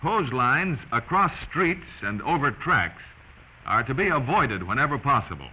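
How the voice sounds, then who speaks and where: An American man narrates a 1940s instructional film from a script. His delivery is deliberate, with lively pitch movement. The old soundtrack is dull and slightly noisy.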